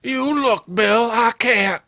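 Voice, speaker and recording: An anguished backwoods man from a horror comic, acted out by a male reader. The voice sits high and bright, with folksy rural phrasing. He sounds pleading and overwrought, a simple man in grief. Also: takes it slowly, dry recording, clean studio sound, full character voice. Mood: shaken, determined. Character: anguished backwoods swamp man grieving his brother.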